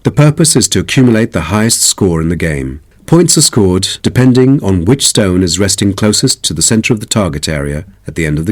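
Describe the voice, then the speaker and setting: A man playing a polite sports club expert, giving a formal, instructive scripted talk. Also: sits low.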